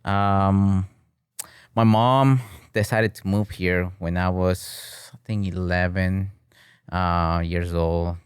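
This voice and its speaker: A deep-voiced man in his thirties from Peru talks as a guest on a podcast. His voice is smooth and clean, and the sound is close and dry. He rambles in a relaxed way and keeps checking in with "you know?" and "right?", now and then slipping into Spanish. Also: slow, no room sound. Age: 30s. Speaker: guest, bartending business owner. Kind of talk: casual interview chat. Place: podcast studio with flags on the wall.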